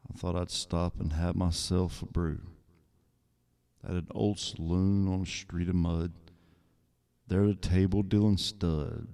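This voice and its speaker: A man with a soothing voice reads slowly and calmly in a soft ASMR style. His voice is very deep and dark, with a gravelly edge. The delivery is unhurried. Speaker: ASMR creator. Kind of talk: soft-spoken ASMR reading of song lyrics.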